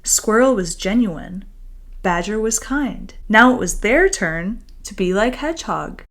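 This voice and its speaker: A woman with a medium-pitched voice reads a children's picture book as its author. The delivery is expressive and careful, shaped for young listeners, and carries the same storyteller manner when speaking to them directly. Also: unhurried. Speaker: children's author reading their own book. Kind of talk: expressive storybook read-aloud and friendly chat to young listeners.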